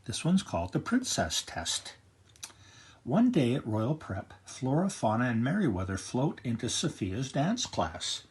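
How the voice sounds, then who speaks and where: An older man reading a children's picture book aloud in a gentle, grandfatherly storytelling manner. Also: medium pitch, wildly swooping pitch, unhurried, husky. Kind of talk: children's read-aloud narration.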